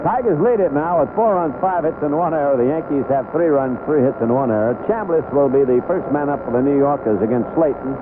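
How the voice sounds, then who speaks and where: A man giving unhurried, measured live play-by-play of a baseball game as a radio announcer. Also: on the high side. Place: ballpark broadcast booth. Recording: noisy narrowband 1970s AM radio broadcast.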